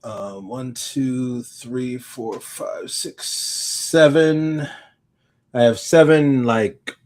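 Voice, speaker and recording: A man chatting casually as a podcast co-host, halting and thinking aloud as he rambles along. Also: mid-range voice, fairly even intonation, a little mellow. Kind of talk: casual unscripted chat.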